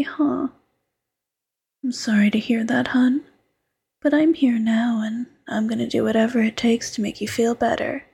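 A woman voicing a doting, teasing fox girlfriend who mothers her partner, in soft coaxing whispered roleplay. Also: fairly high pitch, vocal fry, slightly rough, heavily acted.

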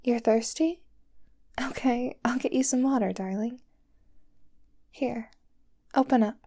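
A woman voicing a doting, possessive young girlfriend who holds her lover captive, talking softly and sweetly in a whispery voice. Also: on the high side, slow. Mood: soothing. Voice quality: soft and whispery. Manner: sweet, clingy, coaxing. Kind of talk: roleplay audio drama to the listener.

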